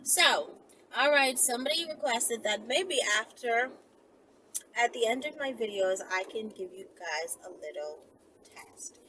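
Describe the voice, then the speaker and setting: A woman's voice: fairly high pitch, expressive, measured pace, pushed and bright. A woman talking casually to her viewers as a channel host, rambling as she gives step-by-step instructions. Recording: clearly noisy, with background ambience.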